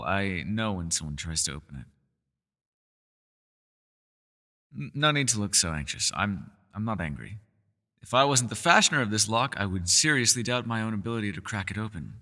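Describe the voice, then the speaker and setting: A man's voice: lowish voice, big pitch swings, brisk, sharp tone, big-room sound, full character voice. A man voicing a centuries-old elf scholar in an ASMR roleplay, courteous and meticulous, with formal wording and small hesitant stammers. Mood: calm, reassuring. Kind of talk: ASMR roleplay voice acting, one-sided dialogue.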